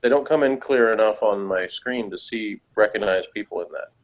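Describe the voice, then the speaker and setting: Slightly dull, lo-fi call audio carries a man with a moderately low voice. He talks casually as a co-host, plain-spoken and insistent when making his point. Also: fairly quick. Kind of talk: casual conversation.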